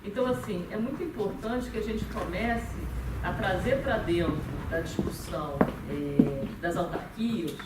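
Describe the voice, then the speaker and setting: A woman with a Brazilian accent speaks at a meeting, thinking aloud and restarting phrases. She sounds far from the mic in an echoey room, with steady background noise. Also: on the low side, measured pace, hardly any pauses. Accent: Brazilian Portuguese. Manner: thoughtful, many hesitant fillers and restarts. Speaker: conference speaker. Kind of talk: academic talk at a meeting.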